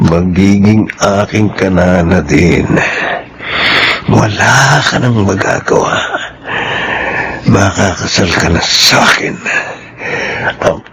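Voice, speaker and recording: A man's voice: sits low, very slow, pauses often, noisy background. An actor playing a hot-tempered, arrogant young rich man who schemes as an obsessive suitor in a Filipino radio drama. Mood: sinister, gloating. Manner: menacing, arrogant.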